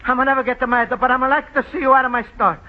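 An actor playing a gentle, timid Italian immigrant shopkeeper with an Italian accent and broken English, in 1940s radio comedy style. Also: male voice, extremely high pitch, steady tone, rapid-fire. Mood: indignant. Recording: dull old radio broadcast with faint hiss.

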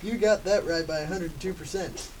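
A male performer voicing a polite, grumbling sloth, reading a little haltingly and mock-formally in an amateur radio comedy. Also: high voice, a little mellow, close to an everyday voice. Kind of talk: amateur old-time radio comedy drama. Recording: distant mic with faint background noise.

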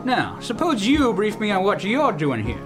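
Comic radio play role: blustering folksy old general turned restaurateur, male actor, self-important and halting, music bed. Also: sits high, huge pitch swings, a bit bright, full character voice. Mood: confiding, solemn. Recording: music under the dialogue.

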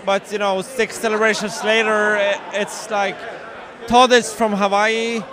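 A man from Sweden, a toy collector, chatting as a guest with some halting restarts. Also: high-pitched, level tone, crowd noise. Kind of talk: casual chat. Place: busy hotel bar. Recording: crowded bar background.

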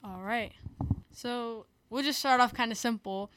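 A woman's voice: fairly high pitch, unhurried, a bit bright, slight background noise, rough recording. A teenage girl co-hosting a school podcast, asking casual questions with short replies. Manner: casual interviewer, short acknowledgements.

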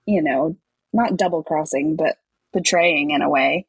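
A woman's voice: low-pitched, a little slow, husky, no echo. A woman co-hosting a podcast, chatting casually through a recap. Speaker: podcast co-host.

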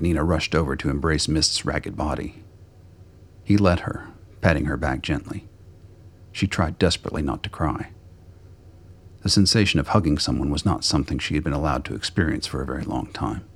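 A man reading scripted dramatic narration for a radio play, with a gravelly, husky voice. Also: rumbling low.

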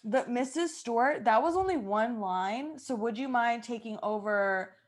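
Excitable lady podcast host just chatting w her crew, kinda high voice, jokey. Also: unhurried. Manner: excitable, jokey, swears freely. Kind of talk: casual group chat.

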